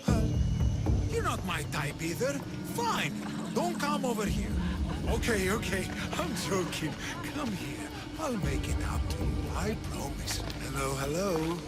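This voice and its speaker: Game casting: high-voiced, slow, boozy Greek flirt, boisterous and slurring, music behind. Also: male voice, sing-song. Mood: teasing. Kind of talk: video game character acting.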